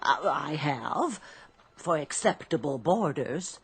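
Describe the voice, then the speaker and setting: An actress playing a prim, inquisitive middle-aged landlady in a radio drama, brisk and formal. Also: deep voice, sing-song, heavily acted. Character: brisk, prim, inquisitive old-fashioned boarding house landlady.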